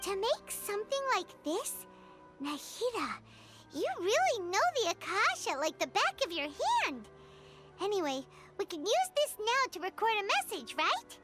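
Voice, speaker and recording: A woman's voice: very high-pitched, big pitch swings, a little slow, music in the background, exaggerated voice. A woman voicing a small, childlike, chatty and cheeky fairy companion in a video game, exclaiming as she talks. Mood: amazed. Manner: exclamatory, refers to self in third person.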